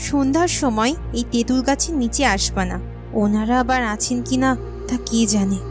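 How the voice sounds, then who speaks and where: Woman as a high-voiced caring cartoon village mother. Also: moderate pace, over music, close to an everyday voice. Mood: cautious, worried. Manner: gentle warnings, affectionate address.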